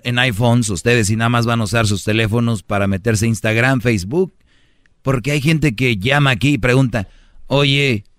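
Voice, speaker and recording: A man's voice: mid-range voice, a bit bright, no room sound. A man hosting a radio show, teasing callers and lecturing in a mock-preachy way, dragging out words for emphasis. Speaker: radio host.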